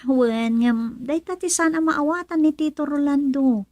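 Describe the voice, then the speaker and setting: A woman's voice: high-pitched, unhurried, darkish tone, no echo. A woman playing a worried, doubting relative of a bride in an Ilocano radio drama. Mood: questioning.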